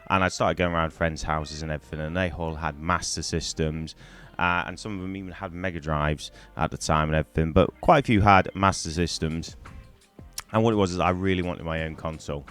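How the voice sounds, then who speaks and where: Middle-aged man from Wales, very low voice, hoarse from a cold, rambling chatty vlogger, cheap mic with faint music. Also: fairly even intonation, fairly quick, a bit bright. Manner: rambling, chatty, many ums and restarts. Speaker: gaming YouTuber. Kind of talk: casual to-camera storytelling. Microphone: poor-quality microphone.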